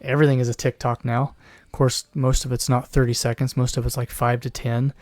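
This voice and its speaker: A man talking alone on his podcast with a hoarse, worn voice, sometimes clearing his throat. He rambles and restarts his sentences, now and then slipping into a mocking put-on voice. The sound is dry and close, with no room around it. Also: medium pitch, little pitch movement, brisk, a little mellow, no room sound. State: losing his voice. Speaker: podcast host. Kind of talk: solo commentary podcast.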